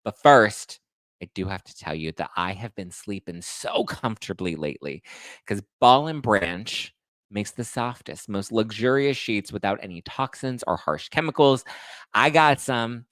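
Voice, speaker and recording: Sassy, slangy man with a lowish voice. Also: lively intonation, a little slow, a bit bright, no room sound. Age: 30s. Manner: sassy, slangy, playful, addressing listeners as honey and boo. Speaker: host of a pop-culture livestream show. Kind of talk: casual chatty gossip commentary to live viewers.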